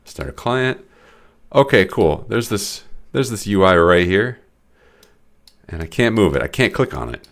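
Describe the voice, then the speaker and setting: A young man with a very low voice, audibly congested and stuffy. He rambles through a late-night coding stream in casual, self-deprecating talk full of restarts. He speaks into a desk mic at home. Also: big pitch swings, a little slow, pauses often. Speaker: game developer streaming his work. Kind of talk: casual live-stream commentary.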